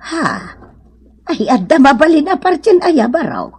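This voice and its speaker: A woman's voice: high voice, big pitch swings, measured pace, darkish tone. A woman playing a fretful, indulgent elderly mother in a comedy radio drama, with an Ilocano accent.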